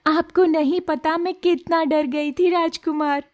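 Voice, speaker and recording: A woman voicing a gentle, apologetic young village girl in an animated moral story, speaking politely and earnestly. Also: very high-pitched, a little slow. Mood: anxious, relieved.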